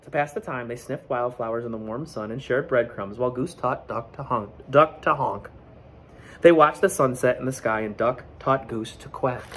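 A man's voice: medium pitch, moderate pace, fairly bright tone, slight background noise. A man in his forties with an American accent, reading a picture book aloud expressively with explanatory asides, as a teacher in a classroom. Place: school classroom, indoors. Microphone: camera or phone a short distance away.

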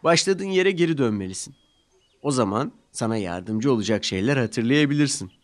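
A man's voice: medium pitch, expressive, normal speed, close to an everyday voice. A man voicing a friendly, helpful young male engine in a cartoon. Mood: kind. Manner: kind, helpful.

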